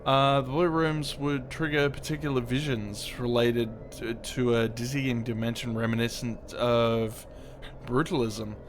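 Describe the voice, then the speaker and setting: A man's voice: medium pitch, flat delivery, unhurried, slight background noise. A man doing casual, rambling game commentary in an understated, offhand way, sometimes reading text aloud as he plays. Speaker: livestreamer playing a game. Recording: creepy ambient music behind. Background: creepy ambient game music.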